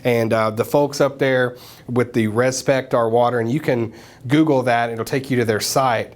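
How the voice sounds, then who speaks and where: A man hosting a news show in a broadcast studio, interviewing a guest and wrapping up in a steady, conversational way with frequent fillers. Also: medium pitch, normal speed.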